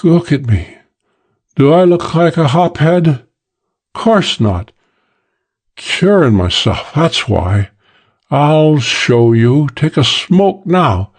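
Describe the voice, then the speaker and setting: A sly, boastful English opium smoker, put on by a male narrator in an audiobook. The voice sits notably higher than the reader's own, drawn out and slurred, with a lazy swagger. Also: very animated, slow pace, a little mellow, full character voice. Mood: boastful drunk. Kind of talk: audiobook character voice.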